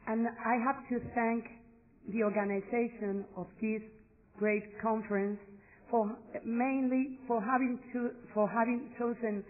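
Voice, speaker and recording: Hoarse, halting Spanish-accented speaker. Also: mid-range voice, steady tone, slight background noise. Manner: halting, with many restarts. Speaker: law school representative welcoming a conference. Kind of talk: formal welcome speech. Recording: tinny phone-like recording.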